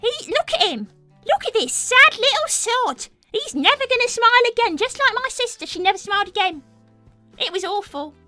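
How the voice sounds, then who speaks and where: A woman's voice: extremely high pitch, sing-song, moderate pace, sharp tone, soft background music, heavily acted. A woman voicing a brash, gossipy young cartoon animal girl with a British accent, cheeky and exclamatory in improvised chat. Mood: mocking. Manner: cheeky, exclamatory, swearing mildly. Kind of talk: improvised in-character livestream chat.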